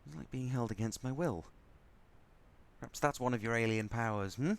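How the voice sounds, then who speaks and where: A man's voice: medium pitch, normal speed, creaky, natural voice. A man playing a sardonic, grudgingly fond spaceship crewman in an audio drama, rambling as he goes. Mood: grudging, charmed. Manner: sardonic, rambling, softening into fondness. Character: snarky male spaceship crewman, grudgingly fond, talking to baby.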